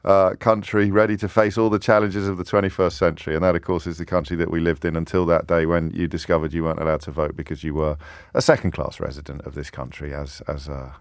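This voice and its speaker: A man hosting a talk radio phone-in, his voice sitting on the low side. He talks in a chatty, wry way, rolling into long fluent runs of talk. The studio sound is close and dry. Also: level tone, fast talker, no echo. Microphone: studio microphone, close and dry.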